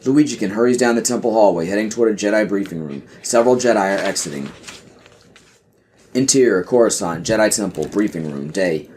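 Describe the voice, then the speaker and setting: A man's voice: fairly low pitch, a little fast, a little mellow, a little hiss or hum. A man reading scripted scene directions as the narrator of an audio play.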